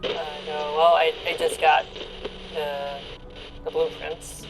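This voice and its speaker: A woman's voice: sits low, flat delivery, takes it slowly, music in the background, rough recording. A woman playing a casual, weary spaceship commander in a tabletop game, matter-of-fact and informal. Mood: casual, uncertain. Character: casual, weary female crew commander on the ship radio. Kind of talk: tabletop roleplay.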